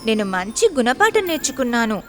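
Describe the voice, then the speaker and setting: Storybook boy, played by a woman: impish but earnest, high and expressive. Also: fairly quick, soft background music. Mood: rueful. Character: mischievous boy who has learned his lesson.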